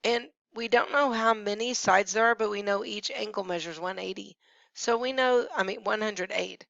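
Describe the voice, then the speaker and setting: A woman math teacher who has nearly lost her voice, hoarse and at times whispering, patiently talking through each step of a screencast lesson. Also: medium pitch, fairly even intonation, moderate pace, a bit bright, dry recording. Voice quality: hoarse, gravelly, sometimes dropping to a whisper. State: losing her voice. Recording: dry, dull lo-fi screencast audio.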